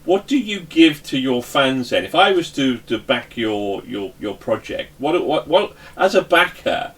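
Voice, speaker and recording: A man hosting a casual interview, asking questions in an informal, halting, repetitive way. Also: mid-range voice, expressive, husky. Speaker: interviewer, show host.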